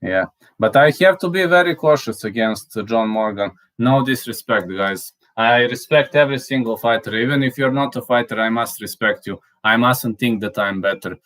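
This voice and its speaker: Halting man, English his third language. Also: mid-range voice, fairly bright tone. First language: Serbian. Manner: halting, with many fillers and restarts; laughs often, spells out words he can't pronounce. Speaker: channel host. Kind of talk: casual live chat, reading viewer comments.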